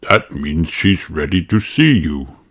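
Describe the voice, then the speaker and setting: Man as a friendly, measured bear doctor. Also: extremely low pitch, expressive, noisy background, heavily acted. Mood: welcoming. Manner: welcoming, measured. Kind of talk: children's storybook read-aloud.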